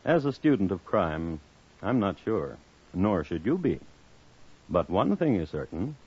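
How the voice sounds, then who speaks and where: A dry crime historian hosting an old radio drama. His low, husky voice is measured and deadpan, yet varies widely in pitch. The 1950s recording is muffled. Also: heavily acted. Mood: wry, measured. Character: dry, solemn male crime historian narrator. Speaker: host narrator.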